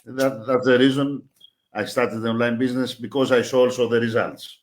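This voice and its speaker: A man's voice: mid-range voice, steady tone, fairly quick, a little hiss or hum. Greek guy, medium voice, short laughing interjections in a casual chat. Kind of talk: casual conversation.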